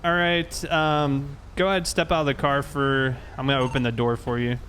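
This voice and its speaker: A man roleplaying a police officer, speaking calmly and firmly with polite, procedural instructions. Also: mid-range voice, moderate pace, a little hiss or hum. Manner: calm, firm, polite but instructive.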